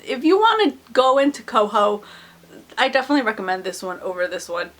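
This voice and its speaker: A woman's voice: on the high side, animated, fairly quick, fairly bright tone. A woman with a cold-stuffed, nasal voice, chatting candidly about books she read as a book vlogger. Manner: chatty and candid, many "like" fillers, frank opinions. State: recovering from a cold. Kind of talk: casual book review chat.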